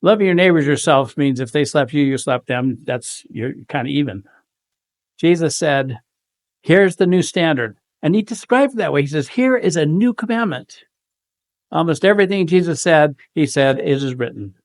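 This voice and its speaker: A man's voice: on the high side, lively intonation, normal speed, no room sound. A man speaking earnestly on a devotional panel, quoting scripture with growing emphasis. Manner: earnest, emphatic, quotes scripture with rising fervor. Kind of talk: informal devotional talk.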